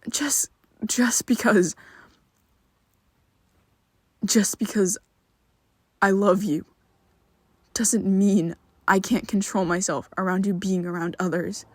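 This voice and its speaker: A guilty, tender young vampire confides in his best friend, as a man acts him out in an ASMR roleplay. His voice is a husky, gravelly near-whisper, pitched low and paced slowly with stumbling restarts. It sits close and dry, with no room around it. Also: steady tone, no echo. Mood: pleading, defensive. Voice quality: soft breathy half-whisper. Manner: hesitant, stumbling restarts, pleading.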